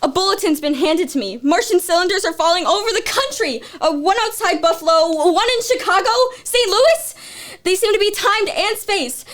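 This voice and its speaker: Young female performer as frightened eyewitness newscaster for radio play, very high voice, tense bulletins of a falling city. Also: normal speed, fairly bright tone. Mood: ominous, tense. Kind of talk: radio drama eyewitness report.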